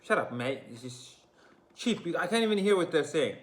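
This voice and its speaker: A man's voice: on the high side, sing-song, moderate pace. Chatty man with a mixed British-American accent. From Azerbaijan. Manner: chatty, repetitive, slangy, frequent "you know what I'm saying". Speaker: vlogger. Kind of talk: casual rant to camera.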